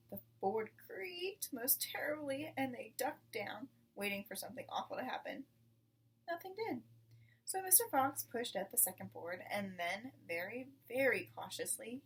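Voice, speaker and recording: A woman reading a children's book aloud in an expressive, deliberate storytelling manner. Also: on the high side, far from the mic, a little hiss or hum, poor audio. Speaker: storybook reader.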